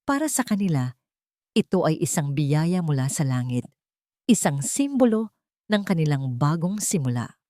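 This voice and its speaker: A woman with a Filipino accent narrating a romance drama in an emotive, expressive storytelling manner. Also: low voice, normal speed, no room sound, studio quality.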